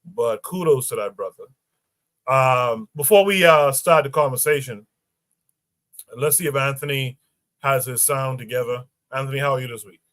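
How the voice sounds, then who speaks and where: A man hosting a livestream podcast panel who reads posts aloud and pauses often with fillers. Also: medium pitch, fairly bright tone, no echo. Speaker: podcast host.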